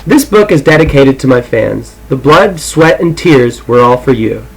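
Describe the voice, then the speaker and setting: A man's voice: mid-range voice, expressive, moderate pace, breathy edge, poor audio. Irreverent man in casual read-aloud mode. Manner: irreverent, foul-mouthed. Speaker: amateur audiobook reader. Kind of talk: casual rambling talk and reading aloud.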